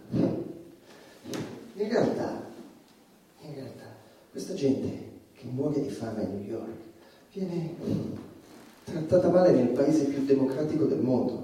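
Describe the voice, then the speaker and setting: Actor as dark-voiced irreverent stand-up comic, echoey and distant. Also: male voice, mid-range voice, lightly acted. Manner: dramatic pauses, addresses the audience as friends, repeats phrases. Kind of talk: theatrical stand-up comedy monologue in a stage play. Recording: echoey room, distant mic, music behind.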